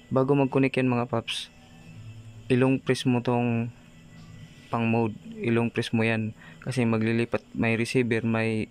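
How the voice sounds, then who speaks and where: A Filipino man giving a casual hands-on product tutorial, talking informally to viewers like buddies. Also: mid-range voice. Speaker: vlogger presenting a product tutorial.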